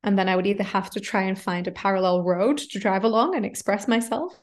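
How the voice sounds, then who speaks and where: A woman chatting as an interview guest, lively and animated, talking through quick self-corrections. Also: medium pitch. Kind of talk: casual conversation.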